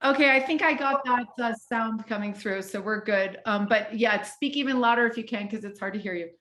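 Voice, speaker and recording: A woman's voice: fairly high pitch, fairly quick, fairly bright tone. A woman hosting a live online healing session, talking in a chatty way with many fillers and restarts. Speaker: host. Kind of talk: casual chat.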